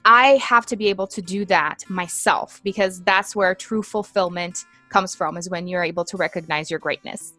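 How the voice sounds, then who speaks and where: A woman's voice: on the low side, normal speed, hardly any pauses, sharp tone, soft background music. A woman, speaking English as a guest on an interview show, telling her story in an earnest, reflective way. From Brazil. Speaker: guest, empowerment coach. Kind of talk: interview conversation.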